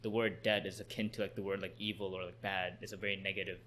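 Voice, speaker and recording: Low-voiced male host, chatty and loose, faint hissy recording. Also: little pitch movement, fast talker, fairly bright tone. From Canada. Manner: chatty, frequent "like" fillers. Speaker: podcast host. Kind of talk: casual interview.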